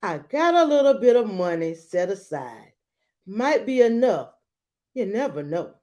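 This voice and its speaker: A woman's voice: mid-range voice, sing-song, measured pace, darkish tone, voice pushed up. A woman voicing a practical, loving grandmother in a children's storybook, firm, plain-spoken and kindly. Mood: kindly, hopeful.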